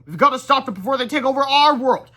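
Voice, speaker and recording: A man voicing excitable, easily distracted schoolboy heroes in a children's storybook read-aloud. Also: very high-pitched, fast talker, voice pushed up, exaggerated voice. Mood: urgent.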